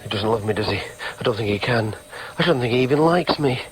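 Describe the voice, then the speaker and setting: An actor playing an earnest, needy grown son in a radio drama, questioning himself emphatically. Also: male voice, medium pitch, expressive, fast, close to an everyday voice, low-quality recording. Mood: hurt, forlorn. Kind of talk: radio drama with inner monologue.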